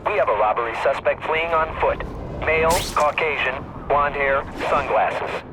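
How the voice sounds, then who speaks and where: A man's voice: fairly high pitch, moderate pace. A man playing a businesslike police dispatcher reading a clipped bulletin over the radio in a game. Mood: businesslike, alert. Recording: radio voice under loud music.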